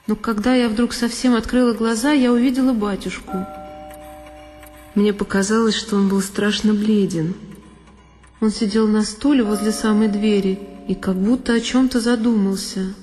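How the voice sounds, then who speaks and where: A frightened young girl , played by a woman, tells of a dreadful night in a literary audio drama. The voice is dark and mellow at a medium female pitch, with deliberate, measured phrasing. Music plays faintly behind it. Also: natural voice. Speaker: solo performer of an audio drama. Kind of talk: dramatic first-person literary narration.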